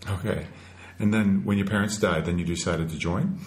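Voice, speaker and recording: A man's voice: low voice, fairly even intonation, normal speed. A man hosting an informal podcast interview, speaking in simple, conversational phrases.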